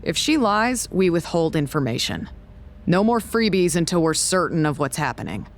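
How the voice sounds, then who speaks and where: A woman playing a pragmatic, sceptical research scientist in an audio drama, speaking in a dry, measured manner. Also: fairly low pitch, big pitch swings. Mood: firm, wary. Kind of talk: naturalistic audio drama acting.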